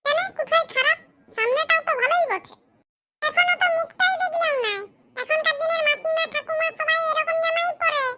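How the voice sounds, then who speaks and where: Cheeky young villager for cartoon comedy, extremely high childlike voice, teasing and scolding, noisy track. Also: steady tone, a little fast, pushed and bright. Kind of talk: comic cartoon dialogue in village dialect.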